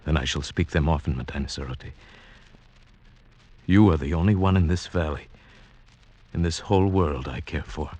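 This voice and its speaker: An actor playing an earnest, dreamy outsider in love, in mid-century radio drama style with poetic, theatrical delivery. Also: male voice, very deep voice, normal speed, long pauses, a little mellow, a little hiss or hum. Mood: tender. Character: lovestruck sighted outsider man, village slave, earnest and dreamy. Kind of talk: 1940s-50s radio drama acting, with first-person narration. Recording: old radio drama, music underneath.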